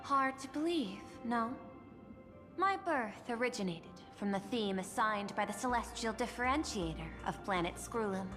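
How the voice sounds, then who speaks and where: A woman playing a composed, calculating android executive in a video game, speaking in a measured, formal, articulate way. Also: a little high, animated, natural voice. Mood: reflective, measured. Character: composed, calculating female android executive and art-seeking administrator. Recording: long reverb, music behind the voice.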